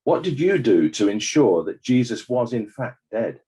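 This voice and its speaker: An actor playing a formal court examiner, speaking in a measured, deliberate way in a scripted dramatized inquiry. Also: male voice, medium pitch, big pitch swings, dark tone, husky. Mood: probing, neutral. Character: formal, probing male inquest examiner.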